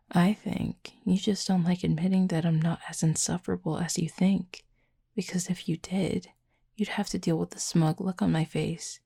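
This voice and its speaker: Woman voicing a husky, flirty werewolf, deeply gravelly. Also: dark tone, heavily acted. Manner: dry, teasing, unhurried, wordy. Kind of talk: intimate audio roleplay, late-night chat.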